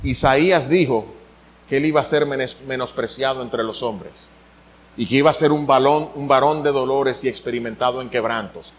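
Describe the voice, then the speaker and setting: A man's voice: mid-range voice, normal speed, slight background noise. A middle-aged man from the Dominican Republic with a Dominican accent, preaching a sermon as pastor, his voice swinging upward to stress a point. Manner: animated preaching, voice swinging up for emphasis.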